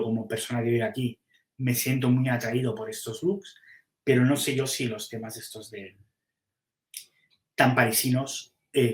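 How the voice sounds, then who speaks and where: A man's voice: mid-range voice, echoey room. A man, a comics publisher, chatting casually on a podcast and thinking aloud as he weighs which titles to publish, with frequent restarts.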